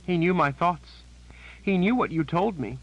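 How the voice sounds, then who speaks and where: A man's voice: high voice, lively intonation, unhurried, muffled recording, pitched above the performer's own voice, heavily acted. A man voicing a frightened captive sister. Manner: pained, trembling whisper.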